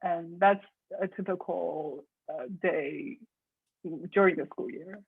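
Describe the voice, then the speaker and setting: A young woman from China, a composer, chatting in an interview with many restarts and soft laughs. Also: lowish voice, slow. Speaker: composer guest. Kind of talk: casual interview conversation. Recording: husky voice over tinny phone-like line.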